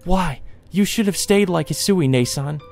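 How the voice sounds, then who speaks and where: Guy doing a young anime maid girl, high voice, desperate n grieving, stammery pleading, visual novel style. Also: moderate pace, creaky, a little mellow, soft background music. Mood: anguished pleading. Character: frantic, grief-stricken young maid crying for her sister.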